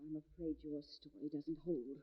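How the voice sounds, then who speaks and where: A woman's voice: sits low, steady tone, moderate pace, breathy edge. An actress playing a frightened, defiant young woman under threat, melodramatic in 1940s radio mystery style, on a dull vintage recording. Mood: defiant, wary. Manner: melodramatic, emotionally wrought.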